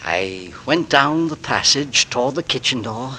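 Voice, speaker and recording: An actor playing a polite, nervous husband who narrates a 1940s radio drama. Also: male voice, mid-range voice, animated, a little slow, background noise, lightly acted. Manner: genteel, polite, nervously hesitant. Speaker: narrator and lead. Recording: old radio broadcast with music.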